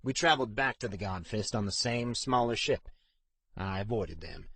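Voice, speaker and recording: A man voicing a brooding older man in an audiobook, telling of a painful past in a somber, measured way. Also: fairly low pitch, a bit bright, no echo. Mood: somber, regretful. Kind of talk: audiobook character acting.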